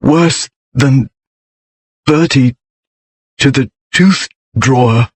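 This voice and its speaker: A man's voice: medium pitch, very slow, no echo. A man voicing a brooding, proud nobleman in an audiobook, speaking in clipped, formal, dryly witty period style. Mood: shaken, rueful. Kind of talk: audiobook character voice.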